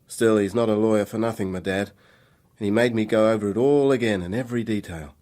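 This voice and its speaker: A man plays a troubled young man on the run in a radio drama. His voice sits fairly low, and he talks informally, in a hesitant, confessional way, bitter and weighed down by guilt. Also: fast talker, darkish tone, close to an everyday voice. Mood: uneasy, confessional.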